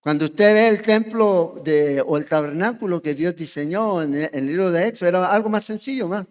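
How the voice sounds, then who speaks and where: High-voiced Chilean pastor in a reverberant church. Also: male voice, fairly quick. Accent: Chilean Spanish. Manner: conversational, checks in with the congregation, asks questions. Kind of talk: expository sermon. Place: church sanctuary.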